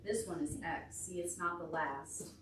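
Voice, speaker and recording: A woman's voice: on the low side, normal speed. A woman leading a preschool storytime, encouraging small children with praise and simple instructions. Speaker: children's storytime leader at a public library. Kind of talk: preschool storytime teaching, alphabet and counting songs. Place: indoor room at a library. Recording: echoey room, distant mic, clear background noise.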